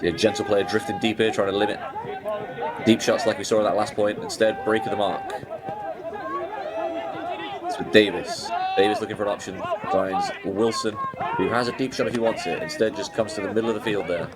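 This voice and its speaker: A man commentates on a game as it happens, talking through each play in a steady, informative way. His voice sits low. A loud crowd roars behind him outdoors. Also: fairly quick. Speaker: sports commentator.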